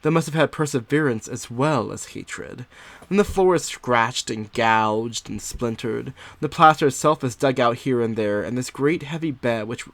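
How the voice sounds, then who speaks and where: A man's voice: medium pitch, normal speed, a bit bright, dry recording, lightly acted. Anxious young wife, acted out by a man, fretful storyteller. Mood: agitated, fascinated. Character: anxious confined young wife, a 19th-century diarist. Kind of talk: dramatic first-person audiobook reading.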